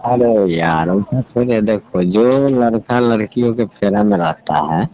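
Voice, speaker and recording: A man's voice: on the low side, measured pace, mellow. A man with a Bihari accent chatting casually on a phone call, explaining things in a colloquial way. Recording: dull lo-fi call recording, clear noise.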